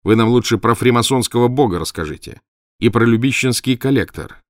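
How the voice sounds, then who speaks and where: A man's voice: medium pitch, fairly quick, dry recording, studio quality, pitched above the performer's own voice, close to an everyday voice. A man voicing a stern young male private detective pressing a suspect. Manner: stern, interrogating.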